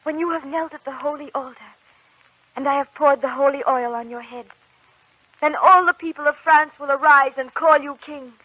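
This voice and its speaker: An actress playing a devout, fearless young warrior maid in an old radio drama, speaking in an earnest, declamatory way. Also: female voice, high-pitched, moderate pace, dry recording, natural voice. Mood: earnest, resolute. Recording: dull old radio recording.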